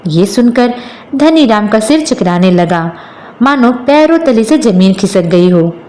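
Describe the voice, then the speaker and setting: A woman's voice: medium pitch, lively intonation, measured pace, mellow, echoey room. A woman storyteller reading a scripted moral tale as narration.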